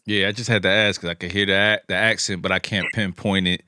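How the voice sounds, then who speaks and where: A man in his forties from Brooklyn hosting a casual podcast interview, talking in a relaxed, rambling way with many restarts. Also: sits low, fast, noisy background. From Brooklyn, USA. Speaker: podcast host.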